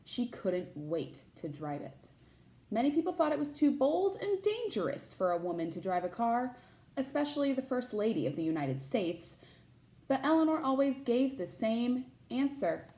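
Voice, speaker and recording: A woman reading a children's picture book aloud like a teacher, pausing to put questions to young listeners. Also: a little high, very animated, mellow, echoey room. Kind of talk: expressive read-aloud of a children's picture book with chatty asides.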